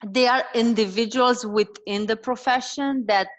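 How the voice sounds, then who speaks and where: A woman's voice: a little high, unhurried, lo-fi sound. A woman in her forties from Romania with a Romanian accent, talking as a dietitian guest in a casual interview, with many fillers and restarts. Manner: warm, animated, frequent fillers and restarts.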